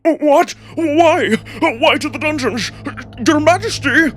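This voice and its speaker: A man voicing a timid elderly wolf doctor who stammers and pleads with a husky voice in a visual novel. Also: very high voice, slow, fairly bright tone, soft background music, voice pushed up, heavily acted. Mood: panicked, pleading.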